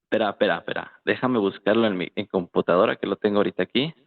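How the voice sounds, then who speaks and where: A man's voice: lowish voice, very fast, gravelly. A man with a Mexican accent chatting casually, circling his points with lots of filler words. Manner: hesitant, circling delivery with frequent filler words. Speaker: participant in a political discussion. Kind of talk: casual chat. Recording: tinny phone-like voice chat.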